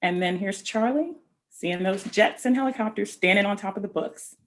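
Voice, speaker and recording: A woman reading a picture book aloud to children, expressively, pausing to explain things directly to her young listeners. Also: mid-range voice. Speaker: read-aloud storyteller.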